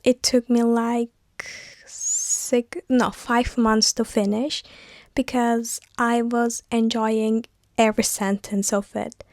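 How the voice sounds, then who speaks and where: Young turkish-native woman vlogging, slow soft chatty talk, dry close sound. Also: fairly high pitch, no echo. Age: young adult. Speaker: vlogger, university student. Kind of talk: casual vlog voice-over chat.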